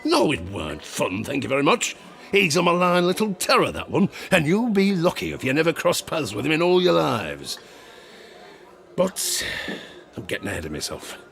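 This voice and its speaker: An actor playing a grizzled old sailor, gruffly telling children a dark bedtime tale in an audio drama. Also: male voice, fairly high pitch, huge pitch swings, exaggerated voice. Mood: gruff, teasing. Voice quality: gravelly. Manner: theatrical, gruff, suspenseful storytelling with dramatic pauses.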